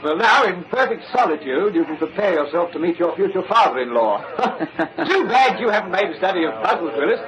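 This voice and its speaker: Breezy high-voiced young chum. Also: male voice, sing-song, fairly quick. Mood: teasing. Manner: breezy, teasing. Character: breezy, teasing upper-class young chum. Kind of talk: old-time radio drama acting. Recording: muffled old radio, crowd behind.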